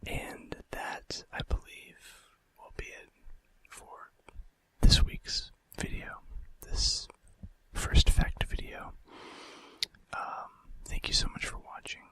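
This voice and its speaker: A man doing an informal ASMR fact video in a breathy, unvoiced whisper with a hesitant delivery. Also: slow pace, bright, forceful tone, low-quality recording. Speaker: ASMR host.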